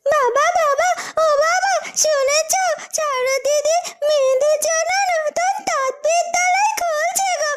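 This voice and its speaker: Brief: woman as a bubbly cartoon village girl, squeaky high and eager. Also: measured pace. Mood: excited. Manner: eager, excited. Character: excited cheerful village girl.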